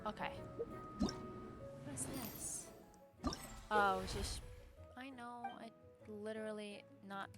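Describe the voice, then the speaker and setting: A woman's voice: mid-range voice, fairly even intonation, slow pace, pauses often, loud music behind the voice. A young adult woman, chatting casually and in a rambling, informal way as a game streamer. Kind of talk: casual livestream chat and game commentary.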